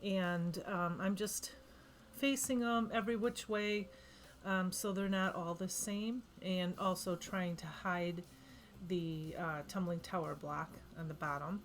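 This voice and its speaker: A woman's voice: fairly low pitch, fairly even intonation, slow pace, slight background noise. A woman with a cold, losing her voice, giving a rambling, chatty voice-over of a craft project, correcting herself often. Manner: chatty, rambling, self-correcting with frequent ums. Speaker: craft vlogger.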